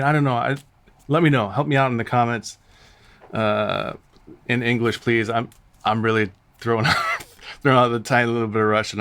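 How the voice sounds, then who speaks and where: An American man hosting a reaction video, talking off the cuff in an animated, exclamatory, halting and rambling way. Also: mid-range voice. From United States. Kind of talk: casual, off-the-cuff reaction commentary. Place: studio.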